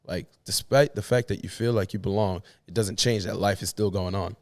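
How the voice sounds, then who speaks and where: A man speaks in a somewhat low voice, recorded dry and close. He talks casually as a podcast guest, thinking aloud and often restarting his sentences. His pace is loose and searching. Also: fairly even intonation, darkish tone, dry recording. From Australia. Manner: thoughtful, halting, repeats words while searching for phrasing. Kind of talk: casual conversation.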